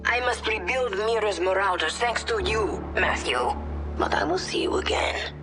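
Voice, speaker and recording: An actress playing a theatrical, lovestruck mercenary leader in a video game, flamboyant and melodramatic. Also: female voice, medium pitch, normal speed, close to an everyday voice. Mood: flirtatious. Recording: voice over game music.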